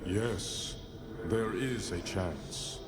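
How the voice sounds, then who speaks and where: Ancient cosmic being, game cutscene, low, extremely slow, solemn, halting. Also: male voice. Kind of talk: portentous video game acting. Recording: echoing voice over loud music.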